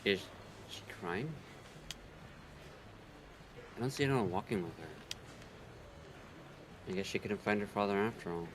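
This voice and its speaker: A man's voice: fairly low pitch, animated, unhurried, long pauses, background noise. A man co-hosting a livestream, trading casual banter and reading game text aloud. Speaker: co-host of a visual novel readthrough. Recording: music playing behind the voice. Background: game music.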